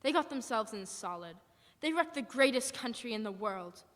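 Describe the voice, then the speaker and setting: A woman's voice: fairly high pitch, lively intonation, normal speed, fairly bright tone. A woman playing a defiant, wary militia survivor with blunt, folksy, emphatic speech in a live radio drama. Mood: bitter, resigned. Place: church hall stage, live performance. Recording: echoey hall, live performance.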